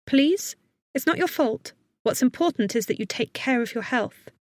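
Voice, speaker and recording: A woman's voice: sits high, animated, normal speed, no echo, voice pushed up. A woman voicing a worried but capable woman vet in an audiobook, giving firm, urgent, reassuring instructions. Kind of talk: audiobook character acting.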